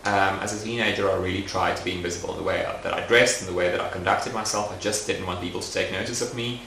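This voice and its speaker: A young man speaking in a documentary interview, thoughtful and soft-spoken with frequent pauses and fillers. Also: low voice, steady tone, gravelly, slight background noise. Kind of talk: reflective personal interview. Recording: roomy echoey recording.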